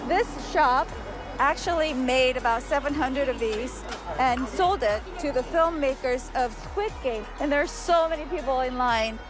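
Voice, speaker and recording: A woman in her fifties reporting on location in a chatty, excited way. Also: sits high, normal speed, music in the background. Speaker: field reporter.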